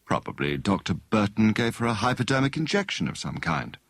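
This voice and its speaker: A man voicing a genial, encouraging young gentleman amateur detective in an audiobook story, speaking in a breezy conversational way. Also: on the low side, very animated, fairly quick, vocal fry, no room sound, clean studio sound, pitched below the performer's own voice, full character voice. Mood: helpful.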